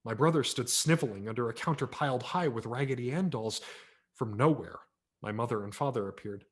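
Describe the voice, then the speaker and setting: A man narrating a humorous story aloud in a wry, expressive reading style for a virtual event. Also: mid-range voice, brisk. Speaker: audiobook narrator. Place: home setup for a virtual event.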